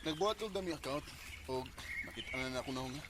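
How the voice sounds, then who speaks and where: A man's voice: mid-range voice, expressive, fast, heavy background noise, natural voice. A man acting an affectionate, playful older brother in a radio drama. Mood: sheepish.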